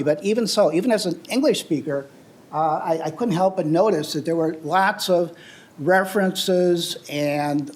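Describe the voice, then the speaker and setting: An older man from the United States presents to an audience in an earnest, explanatory way, walking through slides. His voice sits high for a man. He often pauses on "uh" and restarts his phrases as he goes. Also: darkish tone. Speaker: presenter on travel and sustainability. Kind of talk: public talk with slides.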